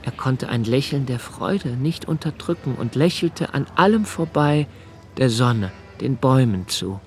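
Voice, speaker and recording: A man voices a solitary young poet in a literary radio drama, turning his memories and fears over in his mind. His delivery is slow and deliberate, and his voice sits at an ordinary, middle pitch. The tone stays quiet and inward, like someone thinking aloud. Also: lively intonation, faint music behind, close to an everyday voice. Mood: brooding. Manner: slow, measured, contemplative. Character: brooding young male poet, 28, solitary and reflective. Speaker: first-person narrator of a radio play.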